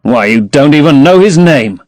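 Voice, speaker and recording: Man reading sullen young brother, protective, blunt, fairly high voice, dry. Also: animated, normal speed, a little mellow, dry recording, natural voice.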